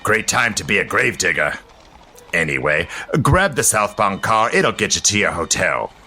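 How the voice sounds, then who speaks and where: An actor playing a brash, loud streetcar conductor who chats with a passenger. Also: male voice, deep voice, big pitch swings, moderate pace, husky, exaggerated voice. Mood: chatty. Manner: loud, brash, barking at traffic. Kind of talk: audio drama acting.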